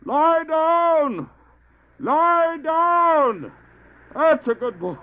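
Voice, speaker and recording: A man's voice: very high-pitched, expressive, drawn-out words, full character voice. A man acting an anxious, determined dog-sled driver in a radio drama, telling his story earnestly and urgently. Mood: coaxing, commanding. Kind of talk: first-person dramatic narration, radio drama. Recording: tinny narrow-band old cassette, music behind.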